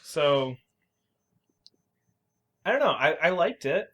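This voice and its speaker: A man co-hosting a podcast, talking informally about video games and thinking out loud as he weighs his opinions. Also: on the high side, big pitch swings, slow. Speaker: podcast co-host.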